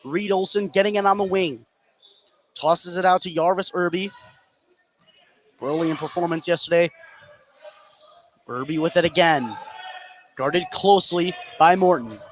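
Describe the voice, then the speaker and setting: A man calling live high school basketball on the radio as play-by-play commentator, getting excited on big plays. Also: measured pace, long pauses, poor audio. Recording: gym noise behind the voice. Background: gym ambience, bouncing basketball.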